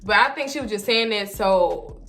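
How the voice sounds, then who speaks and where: A woman vlogger telling a casual story in an animated, emphatic, slangy way, often repeating herself. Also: medium pitch, normal speed. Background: background music.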